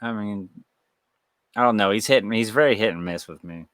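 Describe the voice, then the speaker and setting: A man's voice: on the low side, a little fast, a bit bright, no room sound, studio quality. A man co-hosting a podcast in loose, rambling chat, laughing often and swearing freely. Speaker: podcast co-host. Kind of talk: casual chat.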